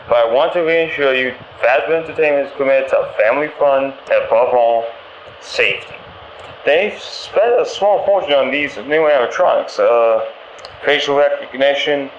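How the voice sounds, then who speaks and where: A man playing a friendly, nervous pizzeria employee in a game, leaving a hesitant, awkwardly upbeat training message over the phone. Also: mid-range voice. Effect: telephone voicemail. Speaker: company trainer for night guards. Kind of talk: rambling recorded phone message, game voice acting. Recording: muffled, roomy phone audio with faint noise.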